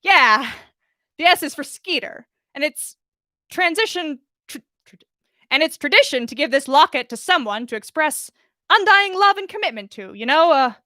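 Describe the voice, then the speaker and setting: A woman voicing a flustered, nervous woman in a story, halting and stammering as she fibs. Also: high-pitched, animated, pushed and bright, dry recording, clean studio sound, pitched above the performer's own voice. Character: flustered, nervous woman fibbing about a heirloom locket.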